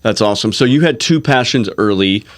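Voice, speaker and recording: A man's voice: on the low side, lively intonation, fairly quick. Friendly male podcast host asking questions. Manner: friendly, curious, asks follow-up questions. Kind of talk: conversational podcast interview.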